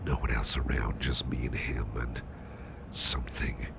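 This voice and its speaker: Man playing a very deep, gravelly gruff boss. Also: level tone, exaggerated voice. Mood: puzzled, musing. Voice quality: very deep, gravelly, breathy half-whisper. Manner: hesitant, rambling, unhurried. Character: gruff, protective male boss, easygoing and caring confidant. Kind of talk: ASMR roleplay, soft intimate storytelling to the listener. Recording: clearly noisy background hiss or ambience.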